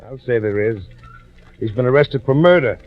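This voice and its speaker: A man acting as an older, self-important employer from a 1940s radio mystery. He is brisk and blustery, echoing his own phrases with authority. The vintage recording is a little dull. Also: mid-range voice, lively intonation, close to an everyday voice. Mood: blustery concern. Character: brisk, fussy, patronizing male boss, wealthy and older.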